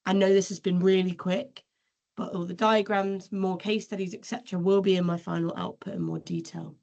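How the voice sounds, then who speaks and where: A woman giving an earnest, measured research presentation over a video call webinar. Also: medium pitch, fairly even intonation, darkish tone, highs cut off.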